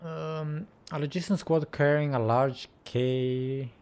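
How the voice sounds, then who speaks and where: A man's voice: a little high, steady tone, slow pace, a little mellow, smooth. A man doing casual gameplay commentary as a gaming content creator, sometimes reading game text aloud. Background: game music.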